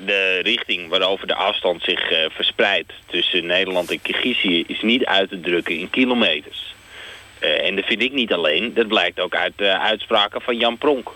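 Arty earnest man in a radio interview clip, really low but very bright voice, bit of background noise. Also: moderate pace, rough recording. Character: earnest conceptual artist explaining his work, male.

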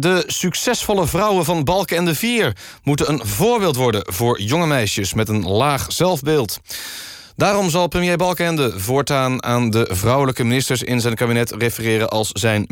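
Male radio host, medium pitch, deadpan, mock-serious presentation, dry close studio sound. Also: no room sound.

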